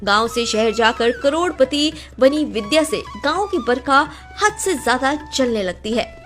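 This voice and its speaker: Female storyteller for cartoon narration: high-pitched, highly animated delivery. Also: a little slow, soft background music.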